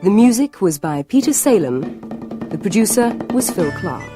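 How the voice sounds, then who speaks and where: A woman reading a scripted radio announcement in a formal, measured way. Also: mid-range voice, very animated. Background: music.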